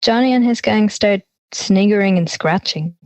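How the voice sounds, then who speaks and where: A woman's voice: mid-range voice, normal speed, a little mellow, no echo, close to an everyday voice. A young woman acting an anxious schoolgirl in an audio drama, confiding in a nervy storytelling way. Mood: uneasy. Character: anxious schoolgirl confiding her dreams and fears. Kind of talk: audio drama monologue and dialogue.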